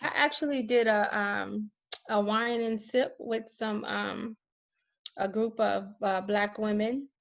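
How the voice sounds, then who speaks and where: A woman from with a mid-range voice that drops into gravelly fry. She talks in a relaxed, rambling way with lots of fillers over a video call. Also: mid-range voice, takes it slowly. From Chicago, USA. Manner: chatty, many fillers, laughs easily. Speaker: guest, educator.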